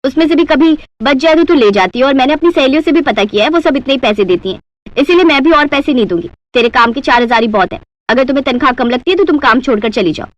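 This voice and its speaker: A woman's voice: sits high, expressive, fast talker, no echo, highs cut off. A woman voicing a bossy, stingy rich housewife with a curt manner in a Hindi cartoon story. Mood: scolding, dismissive.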